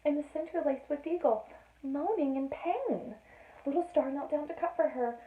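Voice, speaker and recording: Echoey, high-voiced woman reading a storybook. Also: moderate pace. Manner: addresses listeners with playful questions, dramatic emphasis. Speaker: children's read-aloud storyteller, teacher. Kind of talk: expressive children's storybook read-aloud with casual asides. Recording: roomy, echoey, narrowband sound.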